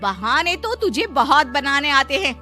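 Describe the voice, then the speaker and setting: Woman acting a sharp, scolding rich mistress. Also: high voice, sing-song, a little slow, soft background music, pitched above the performer's own voice, full character voice. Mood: threatening. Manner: sharp, demanding. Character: stern, scolding wealthy lady employer.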